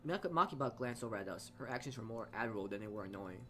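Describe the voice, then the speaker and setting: A man's voice: fairly low pitch, animated, racing. A man reading a visual novel's narration aloud as a let's-player, often stumbling and rereading phrases.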